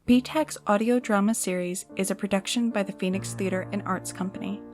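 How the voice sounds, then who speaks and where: Penyiar wanita dengan iringan musik jelas. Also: female voice, mid-range voice, brisk, darkish tone. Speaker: credits announcer. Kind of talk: scripted announcement. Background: music.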